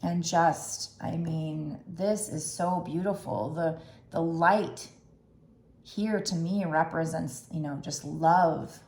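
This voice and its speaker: Woman, tarot reader, gentle musing talk, a little raspy, roomy echo. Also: low-pitched, steady tone, takes it slowly. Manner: gentle, musing, with restarts and soft asides. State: slightly raspy throat. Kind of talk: tarot reading to camera.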